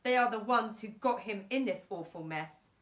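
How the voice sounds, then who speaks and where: A woman's voice: mid-range voice, moderate pace, natural voice. Young storybook boy played by a woman, plaintive, defiant, upset, in an echoey room. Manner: plaintive, protesting. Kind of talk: children's storybook character reading. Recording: roomy, echoey indoor sound.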